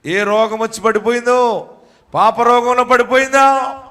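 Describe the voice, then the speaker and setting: Fervent high-voiced preacher man, echoing hall. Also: steady tone, a little slow, bright, forceful tone. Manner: fervent, repetitive exhorting to a congregation. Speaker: pastor. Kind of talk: sermon. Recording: long hall reverb.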